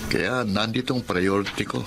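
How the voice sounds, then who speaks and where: A man's voice: on the low side, moderate pace, gravelly, background noise. Albert, a man playing a blunt, resentful son. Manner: blunt, dismissive. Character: grown son, practical, resentful market vendor.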